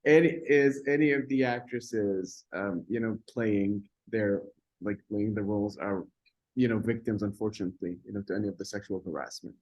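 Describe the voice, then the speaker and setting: A man interviewing a guest for a film festival in an informal, halting way, searching for words. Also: lowish voice, darkish tone, low-quality recording.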